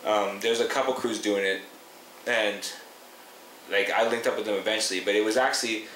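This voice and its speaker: A man's voice: fairly low pitch, fairly even intonation, fairly quick, pushed and bright. A man in his twenties who grew up in Canada, speaking earnestly as a rapper in an interview. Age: 20s. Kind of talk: casual interview talk. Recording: echoey room, faint noise.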